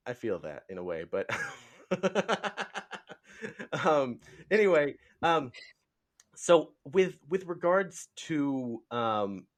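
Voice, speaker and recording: A man hosting a casual interview, speaking in a halting, rambling way with tentative phrasing. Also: on the high side, sing-song. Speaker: podcast host, interviewer.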